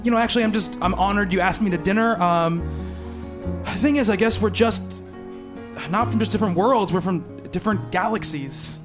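Man acting as earnest male psychiatrist, fairly high voice, haunted, awkward, radio drama, faint music. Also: normal speed, close to an everyday voice. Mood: shy, apologetic.

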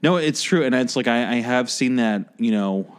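A man in his forties hosting a podcast in an easygoing, mid-pitched voice. He fills his talk with "like" and the odd curse word as he asks long, rambling questions. The chat stays loose and friendly. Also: animated, normal speed, clear, resonant tone. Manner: casual, swears freely, lots of "like". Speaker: podcast host. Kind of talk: casual interview conversation.

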